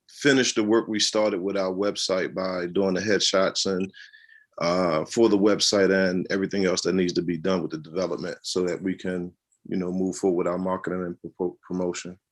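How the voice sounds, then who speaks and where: A man addresses an online board meeting as one of its members. His voice is low and deep. He follows formal meeting procedure as he speaks over the call. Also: level tone, normal speed. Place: virtual meeting.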